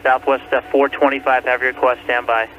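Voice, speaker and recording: A male air traffic controller rattles off clipped instructions in a flat, mid-pitched voice. He talks quickly over a muffled radio recording with some background noise.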